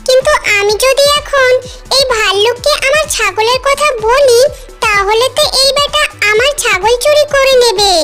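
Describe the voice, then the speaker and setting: A performer voicing a cunning, chatty fox with a very high, childlike voice in an animated children's story. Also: moderate pace. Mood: wary, scheming. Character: cunning fox scheming to farm goats. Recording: music behind the voice. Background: children's cartoon music.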